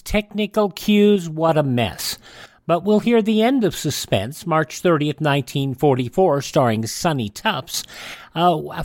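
A man hosting a radio show, giving scripted introductions in a wry, deliberate announcer manner. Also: fairly high pitch, no echo.

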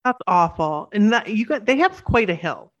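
A woman in her thirties co-hosting a podcast, chatting eagerly with quick asides, sniffly from the cold. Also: medium pitch, sing-song, lo-fi sound. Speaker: podcast co-host. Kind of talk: casual chat.

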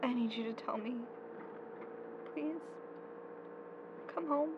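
A woman playing a distraught young woman in an audio drama, speaking haltingly and emotionally. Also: a little high. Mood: pleading, upset. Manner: halting, emotional, repeating herself. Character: distraught young woman pleading with her missing friend. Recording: muffled, noisy, vehicle-like ambience.

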